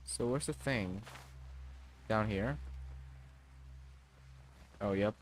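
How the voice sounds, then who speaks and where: A man's voice: on the low side, very slow, a little hiss or hum, low-quality recording. A man livestreaming a game with casual, blunt, sweary, laid-back commentary.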